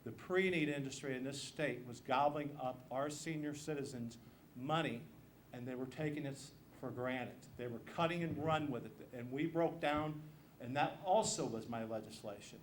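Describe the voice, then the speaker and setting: A man's voice: mid-range voice, moderate pace. An American man speaking as a political candidate, giving an emphatic campaign speech with repeated phrases. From Missouri, United States. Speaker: state legislator and candidate. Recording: noisy live event room recording.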